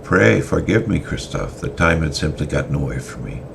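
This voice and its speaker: A man's voice: extremely low pitch, sing-song, normal speed, vocal fry, fairly bright tone, a little hiss or hum, full character voice. A man voicing a polite, earnest young male lord.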